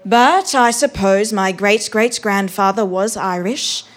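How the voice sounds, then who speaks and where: A woman's voice: medium pitch, slow, natural voice. A woman voicing a middle-aged female government worker in a story reading, neutral, blank and matter-of-fact. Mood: taut, matter-of-fact.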